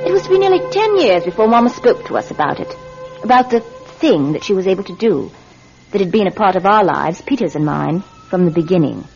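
A woman's voice: mid-range voice, big pitch swings, a little fast, gravelly, darkish tone, soft background music. A woman playing an earnest, insistent daughter in a radio drama, with a dramatic manner. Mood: reflective, storytelling. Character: eager, insistent daughter, narrating childhood and playing it. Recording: muffled, dull old radio drama sound.

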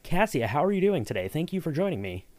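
A man's voice: on the high side, big pitch swings, fast talker, a little mellow, dry recording. A man hosting a podcast in a casual, enthusiastic interview chat. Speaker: podcast host.

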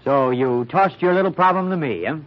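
An actor playing a brash, wisecracking newspaper reporter in an old-time radio mystery, with blunt, slangy, sardonic delivery. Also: male voice, fairly high pitch, expressive, a little fast, dull audio, close to an everyday voice.

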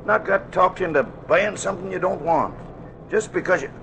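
Fed-up scolding driver in old radio show, slightly high voice, slangy, noisy vehicle behind. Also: male voice, moderate pace, sharp tone. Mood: exasperated. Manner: slangy, exasperated. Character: gruff, impatient, scolding man at the wheel. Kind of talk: 1940s radio drama acting. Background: vehicle noise.

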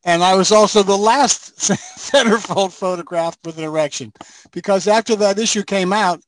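An older man calls in to a talk show and spins jokey anecdotes with a chuckle. His voice sits high for a man. He sounds like he's on a remote call mic. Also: moderate pace, slight background noise, low-quality recording. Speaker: guest.

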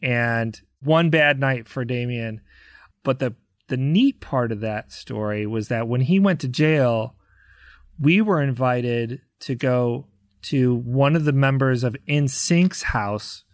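American male, podcast guest, animated casual raconteur, medium pitch, very dry recording. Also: takes it slowly, no room sound. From United States, Chicago area. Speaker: podcast guest, touring rock drummer. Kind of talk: casual anecdote storytelling.

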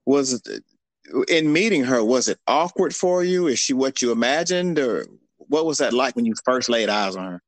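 A man's voice: medium pitch, expressive, normal speed, dry recording. A man hosting a podcast, asking his guest questions with short encouraging replies. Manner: brief encouraging backchannels and questions. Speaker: podcast host. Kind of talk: casual interview.

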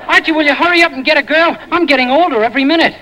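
A man's voice: very high voice, rapid-fire, background noise. An actor playing a naive, whiny, eager young mama's boy in a 1940s radio comedy. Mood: impatient. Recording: old radio recording, off-mic.

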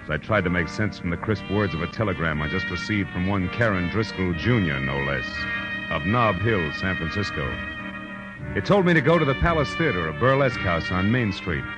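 An American actor playing a wry, world-weary private detective, narrating in punchy deadpan 1940s radio noir style. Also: male voice, low-pitched, fairly quick. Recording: old radio drama, music underneath.

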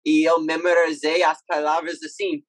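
Young man speaking learned Portuguese haltingly. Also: fairly high pitch, little pitch movement, no room sound. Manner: halting, searching for words in a learned language. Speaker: guest athlete. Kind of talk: casual interview chat.

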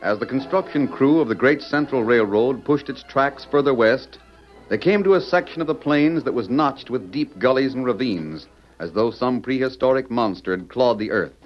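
American male narrator for vintage western serial: declamatory, mid-pitched, muffled, music underneath. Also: normal speed. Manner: dramatic, declamatory. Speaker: announcer and narrator. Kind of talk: 1940s radio scripted narration. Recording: muffled old radio, music behind.

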